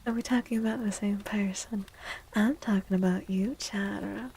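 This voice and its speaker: A woman host speaking softly and gently in a livestream ASMR style, breathy and often slipping into a whisper, drawing out her words. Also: medium pitch, steady tone, a little hiss or hum, poor audio. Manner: slow, gentle, drawn-out words. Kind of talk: soft-spoken ASMR livestream chat.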